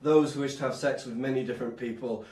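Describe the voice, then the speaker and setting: A man performing a stand-up comedy routine in a rambling, animated way, often interrupting himself. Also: medium pitch, quick pace. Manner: rambling, self-interrupting, animated, sometimes shouting. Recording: echoey room, distant microphone.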